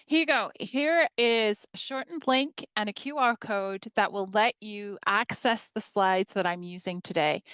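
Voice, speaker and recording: Woman in her 50s giving a teaching talk, smooth clear voice, dry close sound, reads slides out calmly. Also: mid-range voice, dry recording. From northern England. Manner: warm, measured presenting, reading slides aloud. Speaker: history professor giving a teaching workshop. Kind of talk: presentation to educators.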